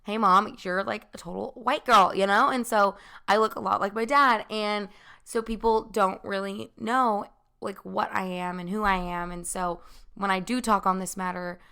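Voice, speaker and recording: A young woman telling a personal story in a casual, chatty way, full of fillers and quick asides. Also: mid-range voice, fairly even intonation, creaky, sharp tone, clear, resonant tone. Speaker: vlogger.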